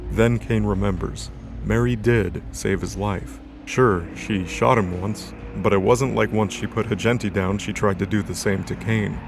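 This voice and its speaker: A man's voice: fairly low pitch, steady tone, measured pace, vocal fry. A man reading scripted, dramatic narration for an audio drama story. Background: background music.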